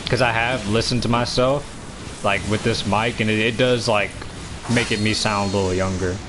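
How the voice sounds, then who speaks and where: Young male streamer, 19, deep low voice, loose rambling delivery, noisy background hiss. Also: steady tone, moderate pace. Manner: rambling, many fillers and restarts. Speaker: gaming streamer. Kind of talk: casual chat while streaming gameplay.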